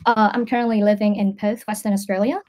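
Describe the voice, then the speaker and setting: A woman at a middle pitch. She answers in a casual, conversational way, pausing and thinking aloud as a learner in an online class. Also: flat delivery, darkish tone. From Vietnam. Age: 20s. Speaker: English student, data scientist.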